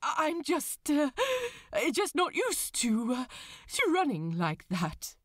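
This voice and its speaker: A woman's voice: sits high, very animated, no room sound, studio quality, exaggerated voice. A woman voicing a flustered, polite male angel who stammers to hide heartbreak. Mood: flustered, shaky. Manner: halting, stammering, over-polite.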